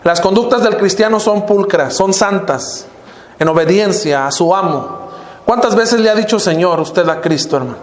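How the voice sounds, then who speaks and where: High-voiced older Mexican preacher. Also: male voice, normal speed, echoey room. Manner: preaching, often addressing the congregation as brothers, pitch dropping at phrase ends. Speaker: minister. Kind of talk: sermon. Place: church hall.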